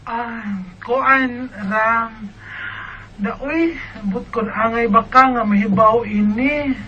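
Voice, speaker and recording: An actress playing a young woman friend in a radio drama, speaking gently and hesitantly as she treads carefully. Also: fairly high pitch, drawn-out words, dull audio. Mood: hesitant, worried. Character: hesitant young woman friend reluctantly breaking painful news. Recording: loud music behind the voice.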